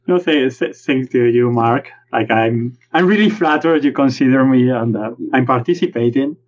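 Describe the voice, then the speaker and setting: A man with a Spanish accent who writes about board games, talking in a casual, animated interview with some restarts. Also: mid-range voice, normal speed. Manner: animated, self-deprecating, frequent restarts. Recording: dull lo-fi remote audio.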